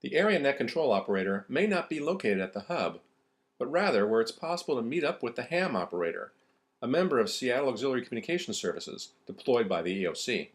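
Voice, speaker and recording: A man's voice: mid-range voice, very animated, quick pace. A man reading scripted narration for an instructional video.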